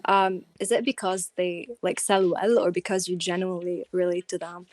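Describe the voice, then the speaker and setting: A woman's voice: lowish voice, little pitch movement, normal speed, a little mellow, dry recording. A woman hosting a podcast, asking a guest questions in a casual conversational way. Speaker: podcast host, interviewer.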